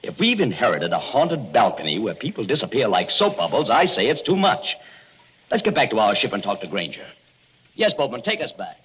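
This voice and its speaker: A protective young husband in a 1940s radio mystery. He is brisk and commanding, with very animated swings in pitch. The old recording is muffled and clearly noisy. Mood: exasperated. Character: impatient, protective young American husband on honeymoon.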